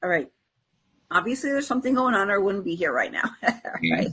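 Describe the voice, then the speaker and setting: A woman's voice: mid-range voice, sing-song, brisk, fairly bright tone, slightly rough. A woman co-hosting a spiritual talk show, chatting informally and reflectively, often voicing inner self-talk. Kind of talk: casual unscripted conversation about spirituality.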